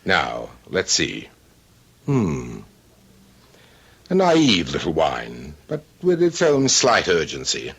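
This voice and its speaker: Pompous, low-voiced cultured uncle, swooping intonation. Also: male voice, huge pitch swings, slow, pauses often, a bit bright, husky, full character voice. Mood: fastidious. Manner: pompous, precise, drily witty. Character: fussy, aloof, cultured wealthy bachelor uncle. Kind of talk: old-time radio drama acting.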